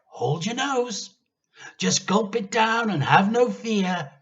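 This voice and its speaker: A man's voice: sits high, takes it slowly, pitched above the performer's own voice, heavily acted. Casting: male reader as mischievous young storybook boy, defiant and gleeful, swooping emphatic lines, slightly dull recording. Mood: excited, chanting. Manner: excited, emphatic, chanting delivery. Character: mischievous, defiant eight-year-old boy plotting revenge. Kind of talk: dramatised children's storybook reading.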